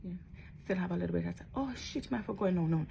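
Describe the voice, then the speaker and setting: A woman's voice: on the low side, lively intonation, quick pace, vocal fry, dark tone, a little hiss or hum. A woman talking casually to her followers in a vlog, chatty and emphatic, often tagging on questions. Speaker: social media blogger.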